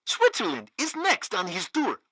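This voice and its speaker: An actor playing a zealous, loyal regime radio host in a comedic video game, with a pompous, theatrical delivery. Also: male voice, high-pitched, huge pitch swings, normal speed, a bit bright, dry recording, studio quality, heavily acted. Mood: smug, earnest. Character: zealous loyal propaganda radio host, male, banana-republic sycophant.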